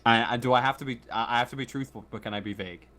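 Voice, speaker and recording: A man running a tabletop game as game master in a casual way, with hesitant, halting explanations. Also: mid-range voice, pushed and bright.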